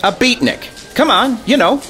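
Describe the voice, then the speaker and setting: An actor playing a goofy, teasing, excitable government agent in a comic radio drama. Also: male voice, very high voice, unhurried, soft background music, heavily acted. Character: goofy, needling male special agent.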